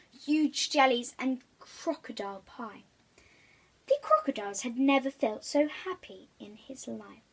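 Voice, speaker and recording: A woman's voice: a little high, fairly bright tone. A woman reading a children's storybook aloud, expressively, stressing key words.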